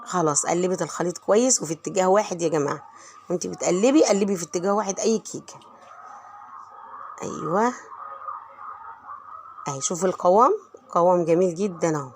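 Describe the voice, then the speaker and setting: A middle-aged woman with an Egyptian Arabic accent, hoarse from a cold, chatting warmly to viewers as she narrates her home cooking. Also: on the low side, fast, pauses often, slight background noise. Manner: warm, chatty, talks straight to viewers. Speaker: home vlogger and mother.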